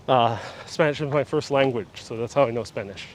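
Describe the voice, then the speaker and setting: A man's voice: medium pitch, a little fast, a little hiss or hum. A man from Puerto Rico hosting a casual live-streamed tour of a sculpture park, chatting and reading viewer comments aloud. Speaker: vlog host. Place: outdoors in a large sculpture park. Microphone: phone on gimbal with wireless mic.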